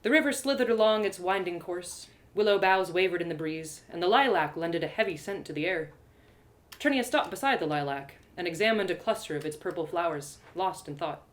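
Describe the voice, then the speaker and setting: A woman's voice: lowish voice, lively intonation, fairly quick. A woman storyteller reading scripted first-person fantasy narration expressively. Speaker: storyteller, author reading live.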